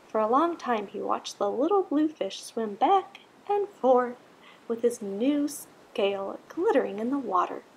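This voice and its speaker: A woman reads a children's picture book aloud with expression. Her voice is on the high side, with noticeable creak and a husky, unclean tone. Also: darkish tone, low-quality recording. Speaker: storybook reader. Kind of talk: scripted children's story narration.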